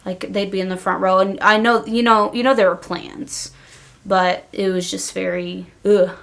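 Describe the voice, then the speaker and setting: A woman's voice: medium pitch, fairly even intonation, normal speed, creaky. Informal, emphatic woman chatting. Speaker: podcast co-host. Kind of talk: casual storytelling chat.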